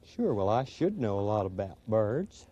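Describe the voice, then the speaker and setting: A friendly owl puppet talks with an eager, chatty manner, as a man puts him on, with chuckles and "uh"s. His voice is mellow and warm, and the old broadcast sound is dull. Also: fairly low pitch, big pitch swings, normal speed, slight background noise, pitched below the performer's own voice. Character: friendly male owl puppet who knows birds. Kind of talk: children's puppet show.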